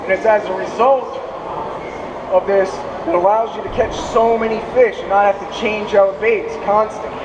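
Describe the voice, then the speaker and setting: A man with a hoarse voice presenting a fishing seminar at an expo, explaining at length with frequent restarts. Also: high voice, steady tone, moderate pace, lo-fi sound. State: hoarse from speaking. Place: expo seminar hall, indoors. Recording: echoey hall, distant mic, air-conditioning hum.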